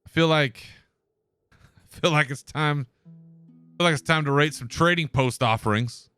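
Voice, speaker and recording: A man's voice: on the high side, measured pace, bright, forceful tone, clear, resonant tone, no room sound, faint music behind. Laid-back man hosting a podcast. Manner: laid-back, swears, chuckles. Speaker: podcast host. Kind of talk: solo casual commentary.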